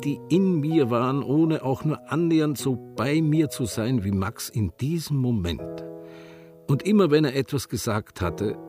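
An actor playing a lovestruck man tenderly recalling his beloved in a lyrical, deliberate radio drama monologue. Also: on the low side. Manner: intimate, deliberate. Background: guitar music.

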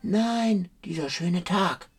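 Mocking, idle philosopher with swooping voice. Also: male voice, sits high, slow pace, slightly rough, full character voice. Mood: musing. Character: tall, idle, teasing man philosophizing while lying sprawled. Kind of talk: German literary radio drama acting.

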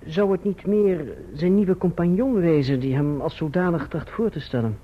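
An actor playing an earnest young gentleman who tells his own story in formal, measured language in a radio drama. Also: a little high, natural voice. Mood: polite. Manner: formal, measured literary diction. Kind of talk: literary radio drama narration and dialogue. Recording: muffled, dull old radio drama recording.

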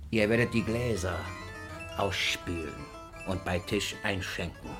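Fussy, stammering old miser, music behind. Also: male voice, sits low, measured pace, fairly bright tone. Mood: bossy, penny-pinching. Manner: hesitant, stammering, repeating himself. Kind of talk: theatrical German radio play acting.

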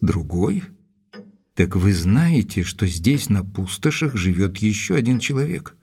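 Earnest, probing gentleman doctor for audio drama; low, somewhat rough voice, deliberate and serious. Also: very animated, full character voice. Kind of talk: audio drama narration and dialogue.

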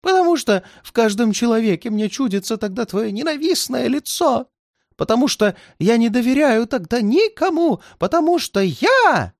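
A terrified old man in a storybook reading, begging for mercy in thin, high, quavering cries, as a male narrator puts him on. The voice leaps up far above normal speaking pitch and swings wildly. The recording is close and dry. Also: big pitch swings, moderate pace, a little mellow, dry recording. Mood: desperate. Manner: wailing, high pleading cries. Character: frightened pleading old man, terrified and paranoid.

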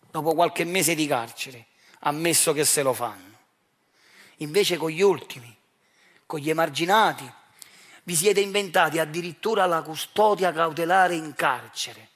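A man's voice: a little high, a bit bright. A man with a Neapolitan accent performing a theatrical monologue, addressing his audience directly in a provocative, accusatory way with rhetorical questions. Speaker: stage actor performing his own monologue.

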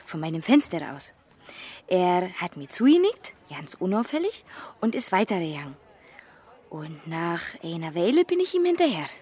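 A woman's voice: lowish voice, very animated, normal speed, a little mellow, slightly rough. A woman playing a shy, naive teenage butcher's daughter, hesitant and guarded, in a German radio crime drama. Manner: hesitant, guarded, simple short answers.

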